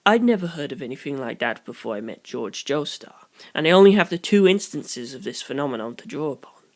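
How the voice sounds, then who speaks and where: A calm young detective as acted by an audiobook narrator lays out grim theories in formal language. The delivery is deliberate and analytical, at a medium pitch. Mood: calm, puzzled. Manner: measured, formal, deliberate phrasing.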